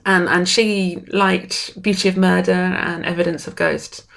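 A woman writer speaks in a slightly low register during a relaxed interview. She sounds warm and excitable, breaking into laughter often and sometimes repeating words as she gathers her thoughts. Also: measured pace, vocal fry. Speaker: writer guest. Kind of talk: casual interview conversation.

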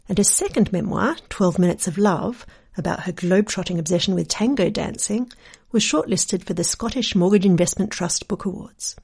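A woman who hosts the interview, reading a scripted introduction and then asking measured, articulate questions. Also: medium pitch, gravelly, a little mellow, husky. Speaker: interviewer and presenter.

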